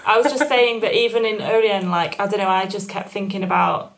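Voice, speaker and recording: A woman's voice: steady tone, fairly quick, slight background noise, poor audio. A woman in her thirties, a folk musician, chatting casually and rambling about her album on a video call from her living room. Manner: chatty, rambling with fillers, trails off into low mutters. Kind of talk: casual chat at an album listening session. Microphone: webcam on a video call, wearing headphones. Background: album playing loudly.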